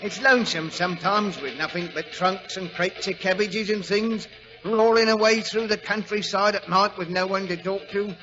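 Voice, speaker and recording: An actor playing a chatty, folksy railway guard with a working-class English accent and a sniffly nose, in 1940s radio drama style. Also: male voice, sits high, steady tone, normal speed, sharp tone. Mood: chatty, eerie. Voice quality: sneezy, stuffed-up with a cold. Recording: muffled vintage radio broadcast with music.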